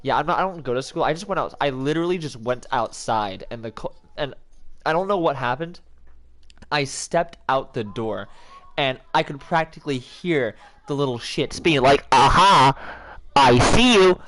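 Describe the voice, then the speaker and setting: A man's voice: mid-range voice, moderate pace, a bit bright. A young man with a head cold chatting casually on a livestream, rambling and joking with lots of restarts. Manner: rambling, self-interrupting, joking. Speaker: streamer.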